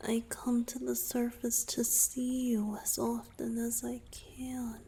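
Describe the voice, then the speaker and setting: A lovesick young merman, acted by a woman, whispers in a high, creaky voice for a romantic ASMR roleplay. The delivery is halting, tender and anxious, full of earnest yearning. Noticeable noise and watery ambience sit behind the voice. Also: monotone, mellow. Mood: melancholy, yearning. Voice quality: breathy whisper.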